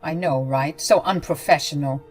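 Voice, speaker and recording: A woman's voice: low voice, moderate pace, faint music behind. A woman voicing an entitled, self-absorbed young bride who talks in a whiny, dismissive way.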